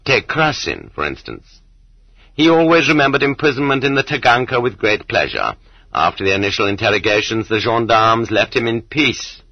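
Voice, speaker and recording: A man narrating a non-fiction audiobook with measured, sardonic delivery and expressive emphasis, on dull lo-fi audio. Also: mid-range voice, no room sound.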